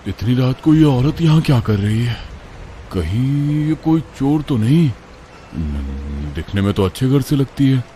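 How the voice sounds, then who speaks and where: A man's voice: medium pitch, big pitch swings, measured pace, warm tone, a little hiss or hum, voice pushed up. A man voicing an anxious but kind young man, hesitant and wary, in a horror cartoon story. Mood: suspicious.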